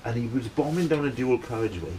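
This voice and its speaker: A Welsh man in middle age tells a story in a loose, meandering way and keeps pausing to ask "right?" His voice sits at an ordinary middle pitch. A faint hiss runs under the recording. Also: animated, dark tone, poor audio. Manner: rambling, keeps checking in with "right?". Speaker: band member telling a story. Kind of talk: casual storytelling.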